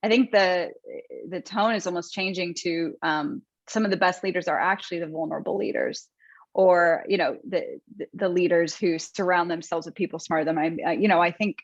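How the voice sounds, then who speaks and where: A woman interview guest talking thoughtfully, with many pauses, ums and restarts. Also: fairly low pitch, fairly even intonation, dry recording. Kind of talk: conversational interview. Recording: dry, muffled lo-fi sound.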